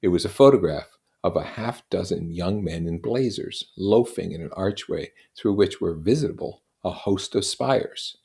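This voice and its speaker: A man reading literary fiction aloud as an expressive narrator, with deliberate, dramatic phrasing. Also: deep voice, creaky.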